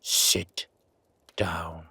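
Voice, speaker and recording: A man playing a gruff, wary but grudgingly kind werewolf in a roleplay audio drama, with a raspy, growling, half-whispered voice and terse, brooding delivery. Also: deep voice, flat delivery, sharp tone, dry recording, exaggerated voice. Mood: stern. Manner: slow, brooding, terse commands.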